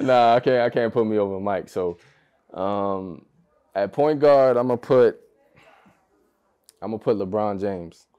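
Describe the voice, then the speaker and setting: A man's voice: lowish voice, a little slow, long pauses, warm tone, clean tone, muffled recording. A young American man, a basketball player guesting on a podcast, chatting in an easygoing way with lots of fillers and laughter. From United States. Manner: laid-back, easygoing, laughs often, loose phrasing with many fillers. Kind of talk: relaxed casual interview chat.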